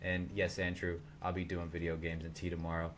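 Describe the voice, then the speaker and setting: Low-voiced man hosting a casual review. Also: monotone, fairly quick, a crowd in the background. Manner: joking, swears freely, laughs often. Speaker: co-host of a TV review livestream. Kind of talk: casual chat.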